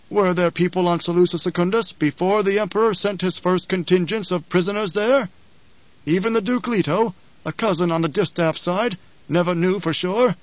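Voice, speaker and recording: A shrewd older spymaster, voiced by a man reading aloud, speaks in a mild, precise, lifted voice. He probes with calm questions. The recording is dry and a little muffled. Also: high voice, no echo, voice pushed up, lightly acted.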